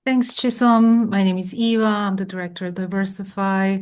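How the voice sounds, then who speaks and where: A woman from Croatia introducing herself on an online panel, halting and searching for words. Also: medium pitch, level tone, a little mellow, clear, resonant tone. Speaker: director.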